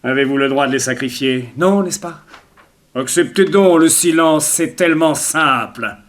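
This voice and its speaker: An actor playing a smooth, gloating blackmailer and murderer in a theatrical 1960s French radio serial, mock-courteous and insistent. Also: male voice, on the high side, measured pace, natural voice. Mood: silky persuasion.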